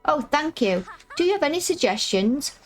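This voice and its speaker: A woman reading the lines of a prim, polite young girl in a video game, with a croaky voice and formal phrasing. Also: sits high, sing-song, normal speed, soft background music, pitched above the performer's own voice.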